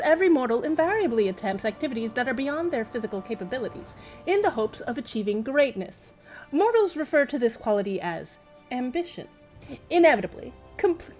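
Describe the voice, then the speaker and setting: High female voice, lively intonation, wry chatty game streamer, faint music behind. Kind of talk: casual game-stream commentary and reading in-game text aloud.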